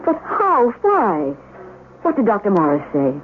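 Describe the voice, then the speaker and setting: A woman playing a composed, probing, frank small-town woman in a radio drama, with precise and deliberate diction. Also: mid-range voice, huge pitch swings. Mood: shocked, saddened. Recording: noisy narrow-band old radio broadcast.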